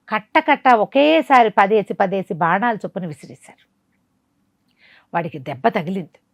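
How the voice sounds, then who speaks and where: Lady telling a folk story, dramatic but chatty, mid pitch, really dry sound. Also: creaky, dry recording. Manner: conversational, dramatic, explains with asides and rhetorical questions. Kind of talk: animated oral storytelling of a folk moral tale.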